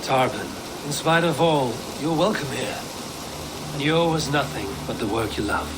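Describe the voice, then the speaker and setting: A man acts out a steadfast Viking clan warrior for a video game. The voice is medium in pitch, with a measured, blunt, commanding delivery. Outdoor noise is clear behind it. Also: animated, close to an everyday voice. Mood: supportive. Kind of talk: video game cutscene acting.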